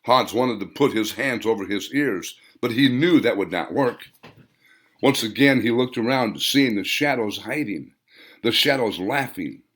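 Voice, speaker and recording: A man narrates a story in a mid-pitched voice that rises and falls with great movement. His phrasing is emphatic and theatrical, suited to a dramatic audiobook of his own fiction. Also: lively intonation, a little slow, a bit bright. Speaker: author reading own novel.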